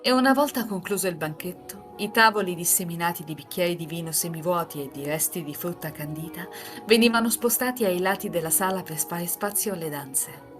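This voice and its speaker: A woman's voice: lowish voice, a little fast. A woman in an amateur live reading group, moving between casual chat and scripted narration. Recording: music playing behind the voice.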